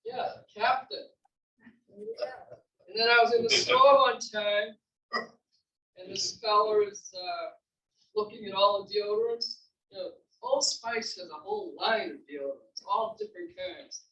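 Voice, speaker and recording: A woman's voice: mid-range voice, very animated, measured pace, long pauses, sharp tone. Woman talking in an echoey room. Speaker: playwright. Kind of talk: casual Q&A chat after a reading. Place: library event room. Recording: echoey library room, distant muffled mic.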